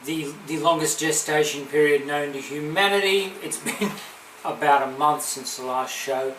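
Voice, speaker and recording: A man's voice: fairly high pitch, steady tone, normal speed, a bit bright. A man co-hosting a casual comedy podcast, joking, punning and laughing often. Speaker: podcast co-host. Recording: echoey room, distant mic, faint hiss.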